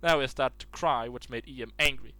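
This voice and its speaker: Casual male reader of online stories, mid pitch, stumbles over words now and then. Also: a little fast, sharp tone, no echo. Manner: restarts and stumbles while reading aloud.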